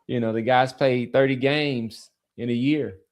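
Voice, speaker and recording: Male podcast panelist, average pitch, conversational sports talk, pushes back and restates his points. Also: fairly even intonation, a little slow. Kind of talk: casual sports debate.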